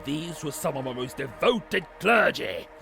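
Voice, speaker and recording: A man voicing a domineering pastor in a grim audio drama. His gravelly voice moves slowly and swings widely in pitch as he hands out grave orders and threats, with music clearly heard underneath. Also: animated, sharp tone, exaggerated voice. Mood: commanding. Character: domineering male pastor of a grim city chapel.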